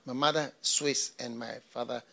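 A middle-aged man from Ghana preaching a sermon into a handheld mic on a church stage, swinging between loud bursts and hushed asides. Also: medium pitch, steady tone, normal speed, creaky. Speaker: pastor.

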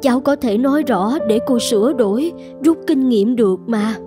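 A woman's voice: a little high, moderate pace, over music, close to an everyday voice. A woman playing a humble, anxious older housekeeper in a cartoon, earnest and deferential. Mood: worried pleading. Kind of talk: cartoon voice acting.

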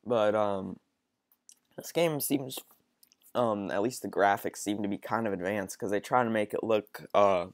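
A man's voice: lowish voice, a little slow, dry recording, studio quality. Sniffly young man rambling over gameplay. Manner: rambling, hesitant, lots of ums. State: stuffy with a cold. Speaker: gaming channel host. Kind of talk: casual let's-play commentary.

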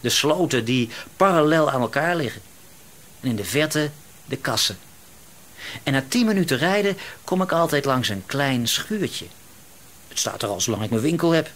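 An actor playing an eccentric, misanthropic middle-aged shopkeeper in a radio drama, musing to himself in a rambling, gloating way. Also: male voice, medium pitch, lively intonation, moderate pace, pauses often, a bit bright, close to an everyday voice. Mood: dreamy musing.

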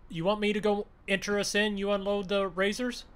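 A man bantering in an improvised gaming roleplay, excitable and full of exclamations, often urging others on. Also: sits high, a little fast, clear, resonant tone. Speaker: gaming video creator playing a truck roleplay. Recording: faint background noise.

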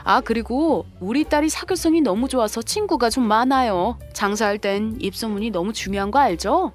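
A woman's voice: fairly high pitch, normal speed, faint music behind, lightly acted. A woman playing a composed, sarcastic sister-in-law and protective mother in a scripted audio drama. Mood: coldly vengeful.

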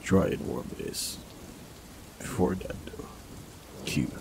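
A man's voice: takes it slowly, natural voice. A man voicing an ancient immortal male forest warlock in an audio roleplay, speaking with dry, teasing humor. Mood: calm. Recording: steady rain noise behind voice. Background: rain.

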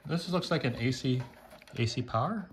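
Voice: mid-range voice, normal speed. A host of a gadget teardown video giving casual hands-on commentary in a rambling, wry, mildly profane way. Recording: noisy room, clicking tools nearby.

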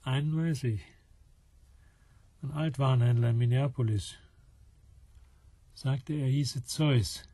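Radio play: gruff, tired skeptic, deadpan short lines, strongly raspy voice, close dry sound. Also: male voice, medium pitch, slow pace, pauses often, dry recording. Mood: dry, wry. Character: tired, skeptical male companion, gruff and laconic.

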